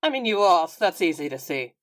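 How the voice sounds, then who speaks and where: A woman's voice: on the low side, very animated, fast, dry recording. A woman playing a tabletop game, improvising roleplay in a casual conversational style.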